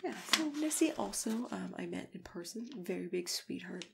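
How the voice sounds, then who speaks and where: A woman speaking softly and breathily in an ASMR roleplay as a consultant, often slipping into a whisper, gentle and halting, close to the microphone. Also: fairly low pitch, expressive, gravelly. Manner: gentle, unhurried, halting, with small agreeing hums. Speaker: ASMR roleplayer acting as a monster matchmaking consultant. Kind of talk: soft-spoken ASMR roleplay, improvised one-sided client consultation. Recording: close ASMR recording with typing, clicks, hiss.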